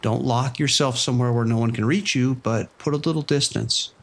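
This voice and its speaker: A man playing a firm, steady police sergeant. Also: mid-range voice, lightly acted. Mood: steady, commanding. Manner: firm, measured instructions. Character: calm, steady male police sergeant on the phone. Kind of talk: audio drama acting.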